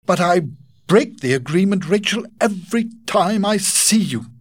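Man doing a lovesick young suitor, high, loud, gushing, husky edge. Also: expressive, measured pace. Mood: ardent. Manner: effusive and pleading.

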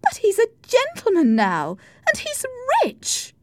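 A woman's voice: very high-pitched, wildly swooping pitch, takes it slowly, no echo, pitched above the performer's own voice, full character voice. A woman voicing a naive, infatuated eighteen-year-old young lady in an audiobook, petulant and emphatic. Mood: pleading. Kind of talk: audiobook character voice.

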